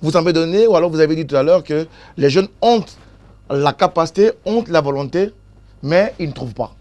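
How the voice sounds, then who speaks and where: A man, hosting a TV debate and cutting in briskly to steer the guests. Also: on the high side, lively intonation, a little mellow. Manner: brisk, interjecting. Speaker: TV debate host. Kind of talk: moderating a panel debate.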